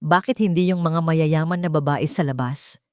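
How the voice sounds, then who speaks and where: A woman's voice: low voice, normal speed, a little mellow, dry recording, close to an everyday voice. A woman acts out a frightened young heroine of a romance audio story. She is polite and pleading, desperate under pressure, in a dry close sound. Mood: wary, shaken.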